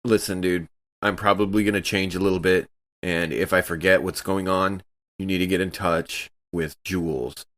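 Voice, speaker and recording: A man's voice: deep voice, steady tone, normal speed, fairly bright tone. A man playing a tabletop roleplaying game, improvising his character's actions in casual, informal speech.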